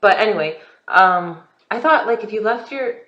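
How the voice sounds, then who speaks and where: A woman's voice: medium pitch, lively intonation, moderate pace, sharp tone. Give me a teasing woman co-hosting a casual podcast, recorded with a dull, roomy sound. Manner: teasing, laughs often. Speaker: podcast co-host. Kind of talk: casual chat.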